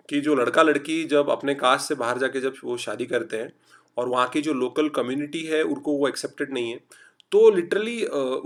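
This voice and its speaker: A man from Maharashtra with a slight Marathi accent, teaching a live online class in a chatty style and mixing in English. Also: medium pitch. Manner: chatty, reads out students' typed replies; urges quick answers, mixes English. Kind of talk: online lecture.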